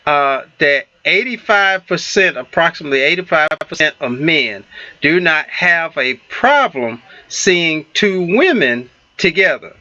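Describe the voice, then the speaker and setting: A man with a Southern US accent hosting a relationship talk show, halting with many fillers and restarts. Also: fairly high pitch, sing-song, bright, forceful tone, no room sound, dull audio. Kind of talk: casual radio talk show chat.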